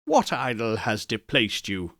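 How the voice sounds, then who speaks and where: A man's voice: lowish voice, huge pitch swings, a little slow, sharp tone, dry recording, clean studio sound, pitched below the performer's own voice, heavily acted. A man voicing a proud, defensive man in his prime in a storybook reading, terse and impatient. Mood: puzzled. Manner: terse, retorting.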